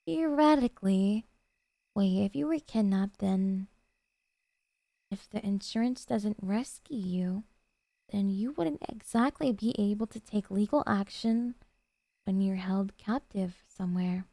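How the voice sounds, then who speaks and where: Soft, mellow yandere girlfriend, acted by a woman. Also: medium pitch, a little slow, vocal fry, no echo. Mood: musing, explaining. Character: sweet, possessive, obsessive young woman kidnapper girlfriend. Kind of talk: ASMR roleplay.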